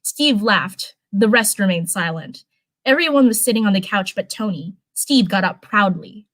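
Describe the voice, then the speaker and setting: A woman streamer reads a story aloud in a mid-pitched voice. Her delivery is casual and informal, slipping easily between narration and chatty, rambling commentary. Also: expressive, normal speed, fairly bright tone, no room sound. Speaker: live streamer reading fanfiction.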